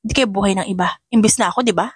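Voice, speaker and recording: A woman's voice: mid-range voice, racing, slightly rough, no echo, clean studio sound, close to an everyday voice. A woman voicing a feisty young female janitor in an audio drama, cheeky and defiant, though polite to elders. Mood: defiant, irritated. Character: feisty young female janitor who fights back at bullies.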